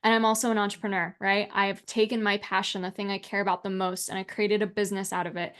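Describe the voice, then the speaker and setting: A woman in her thirties gives an online class as a coach, talking in a chatty, upbeat way and often dropping "like" and "right?". Her pitch sits at an ordinary middle height for a woman. She speaks from a small room into a webcam and desk mic on a video call. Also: flat delivery, fast talker, fairly bright tone, clear, resonant tone. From United States. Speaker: alcohol-free coach teaching an online class. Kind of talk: casual spoken class presentation over slides.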